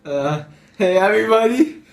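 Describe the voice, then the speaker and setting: A man's voice: sits high, lively intonation, moderate pace, vocal fry, roomy sound, soft background music. A man playing a panicky, complaining teenage boy who is kept awake by his friends, in an amateur audio drama. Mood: frantic. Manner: exclaiming, whiny complaining.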